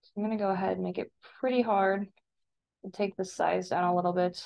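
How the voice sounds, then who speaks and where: A woman instructor talking informally through a step-by-step software tutorial as a screen-recording voice-over. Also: mid-range voice, fairly even intonation, brisk, vocal fry.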